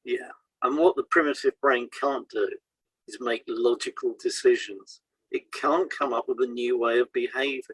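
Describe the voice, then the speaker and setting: A man co-hosting an educational podcast, reading scripted explanations in a deliberate, instructive way. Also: mid-range voice, a bit bright, slightly rough, no room sound, poor audio. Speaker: podcast co-host, hypnotherapist. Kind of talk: scripted podcast discussion, read aloud.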